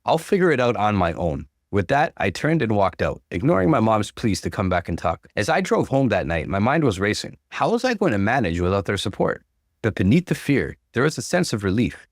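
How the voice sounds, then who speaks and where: Animated male story narrator with a dry sound. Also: lowish voice, brisk, no echo. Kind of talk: expressive first-person story narration, acting out dialogue.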